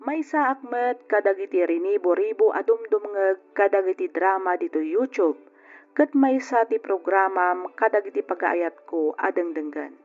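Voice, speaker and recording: A woman's voice: mid-range voice, close to an everyday voice. An actress as woman narrating over music, muffled. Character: female letter sender introducing her painful love story. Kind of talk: scripted radio drama narration. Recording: dull lo-fi audio with music behind.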